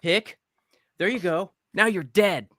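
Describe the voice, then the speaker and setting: A man playing a battered young man who survives a horror film killer, taunting in a hushed way at a table read. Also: high-pitched, takes it slowly, no room sound, full character voice. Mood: calm, vengeful. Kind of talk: amateur screenplay table read.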